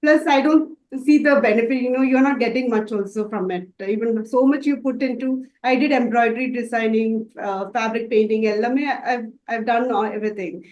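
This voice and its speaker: A woman's voice: on the high side, a little fast, few pauses, darkish tone, highs cut off. Hesitant woman on an echoey call. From Dubai. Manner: hesitant, many fillers and restarts. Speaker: makeup artist student. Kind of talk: interview answers.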